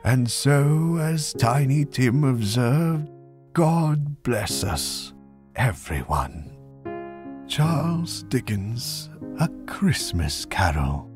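A man narrating a literary passage in a solemn, measured, dramatic reading. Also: medium pitch, animated, a little mellow. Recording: voice under clear instrumental music.

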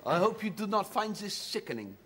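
Young German man, German accent, high voice, stiff and over-formal, shouting rants, TV sketch. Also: big pitch swings, a little fast, slight background noise. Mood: polite. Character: earnest guilt-ridden young German man. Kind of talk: TV sketch comedy acting.

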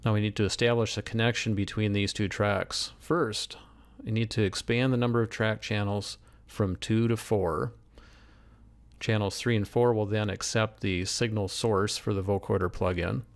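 Male instructor for screen tutorial: methodical, explanatory, gravelly fry, lower register. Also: steady tone, moderate pace. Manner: methodical, step by step. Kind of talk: explanatory software demonstration. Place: voice-over screen recording.

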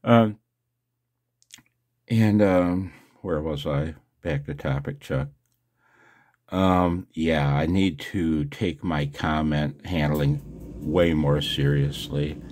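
A man's voice: extremely low pitch, steady tone, slow pace, a little mellow. A man talking casually and self-deprecatingly to his viewers in a rambling monologue, as host of a video channel. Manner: self-deprecating, chuckles often.

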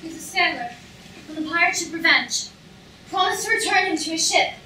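A woman's voice: very high-pitched, brisk, pushed and bright, a little hiss or hum. A young woman playing a distraught, devoted noblewoman in love, pleading melodramatically in an amateur staged reading. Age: young adult. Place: lecture hall stage. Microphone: far camera. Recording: echoey lecture hall from far off.

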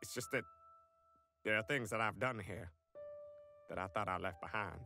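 A man voicing a gruff, brash big man with slangy, melodramatic delivery in a comedic cartoon parody. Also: lowish voice, moderate pace, sharp tone, soft background music. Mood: brooding, melodramatic. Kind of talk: comedic cartoon dub acting.

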